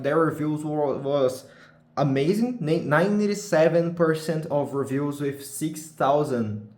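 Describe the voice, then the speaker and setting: Halting Brazilian man in a roomy room. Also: a little high. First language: Portuguese. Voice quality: halting, many restarts and searching for words. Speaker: vlogger. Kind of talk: casual unscripted chat.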